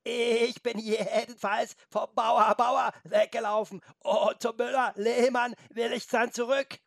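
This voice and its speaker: A man voicing a stubborn, muddled and grumpy female goat in a children's story, with a bleating, drawn-out, defiant delivery. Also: very high-pitched, little pitch movement, vocal fry, dry recording, clean studio sound, voice pushed up, exaggerated voice.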